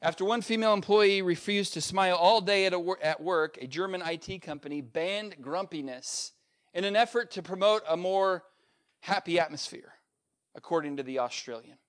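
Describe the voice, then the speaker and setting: A male pastor preaching a sermon, building up to a repeated refrain and swinging between loud peaks and quiet, low asides. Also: normal speed, clean tone. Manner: emphatic preacher with swings in pitch and loudness, repetitive refrain.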